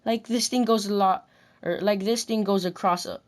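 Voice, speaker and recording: A woman recording scripted commentary about a video game, deliberately delivering lines and often rephrasing them in retakes. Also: mid-range voice, steady tone. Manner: deliberate, restarts and rephrases whole sentences.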